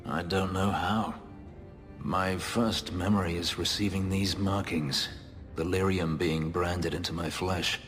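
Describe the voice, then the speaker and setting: Brooding escaped-slave elf: low, measured, bitterly wry, game cutscene with long reverb. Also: male voice, steady tone, a bit bright. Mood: bitter, pained. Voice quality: deep, low voice. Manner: measured, formal, wryly understated. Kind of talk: dramatic video game acting. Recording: long reverb, music behind.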